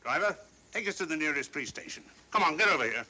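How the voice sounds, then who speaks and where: A man's voice: a little high, lively intonation, fast, natural voice. An actor playing a commanding, urgent lawman barking orders in an old film mystery style. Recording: noisy old film soundtrack.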